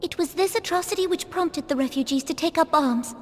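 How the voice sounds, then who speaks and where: A woman's voice: very high-pitched, brisk. An actress playing a solemn, regal young female ruler in a fantasy video game, with formal, archaic phrasing. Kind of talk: scripted video game drama.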